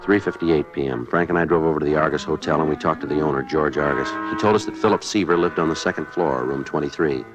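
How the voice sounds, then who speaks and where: Very deep, deadpan police detective. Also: male voice, fast, hardly any pauses, dull audio, music in the background. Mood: matter-of-fact narration. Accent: American. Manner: deadpan, clipped. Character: terse, stoic male police detective sergeant. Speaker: lead actor and narrator of a police radio drama. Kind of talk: 1950s radio acting, clipped narration. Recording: 1950s radio drama recording.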